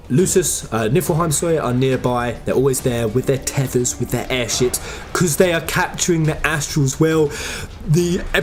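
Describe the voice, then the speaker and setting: An animated host delivers fan theory commentary with music playing behind. The mid-range voice swings widely in pitch. The delivery is informal, emphatic and full of exclamation. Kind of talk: excited fan theory commentary over game footage.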